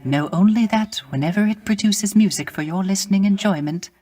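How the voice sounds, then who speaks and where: A male actor plays a melancholy dead male musician in a video game. His voice is light, soft and on the high side for a man. He speaks in courtly, old-fashioned phrases, wistful and a little hesitant, with theatrical gentleness. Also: a little fast, close to an everyday voice. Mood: gracious, pleased.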